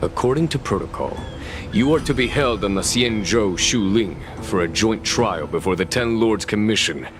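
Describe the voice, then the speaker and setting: Game role: composed general played by a man, very low register, formal, dry, shrewd, over a musical score. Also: lively intonation, normal speed, over music, lightly acted. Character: composed, shrewd male general, dryly authoritative. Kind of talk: video game voice acting.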